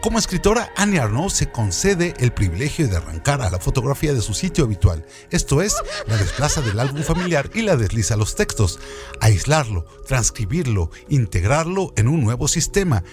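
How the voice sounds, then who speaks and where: Male presenter, animated varied pitch, scripted educational narration, didactic questioning delivery, music underneath. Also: fairly quick. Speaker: presenter of literary trivia.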